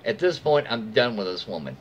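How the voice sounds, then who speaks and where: A man's voice: on the low side, very animated, brisk. An American man in his forties reads a story aloud informally, occasionally stumbling over words and correcting himself. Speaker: storyteller reading online posts aloud. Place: small room at home, indoors. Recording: dull lo-fi mic, faint background noise.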